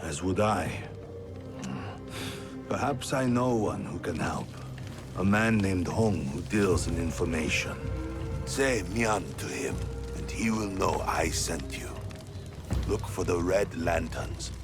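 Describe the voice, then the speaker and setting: A grave noble samurai lord in a video game. His voice is very deep and his words come slowly and heavily, proud and brooding, over clearly audible music. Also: lively intonation. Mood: composed. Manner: brooding, weighty delivery. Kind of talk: video game cutscene acting.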